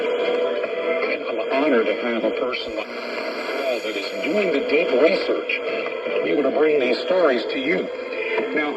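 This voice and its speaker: Muffled man hosting shortwave talk radio. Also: medium pitch. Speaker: radio program host. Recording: muffled shortwave radio with loud music bleeding through.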